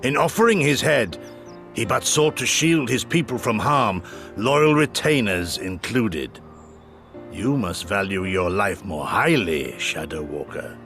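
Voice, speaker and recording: A man's voice: fairly low pitch, animated, a little slow, a bit bright, faint music behind. A man voicing a solemn, loyal, principled warrior retainer in a video game, speaking in grave, formal, archaic language. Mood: stern counsel. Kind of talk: scripted video game voice acting.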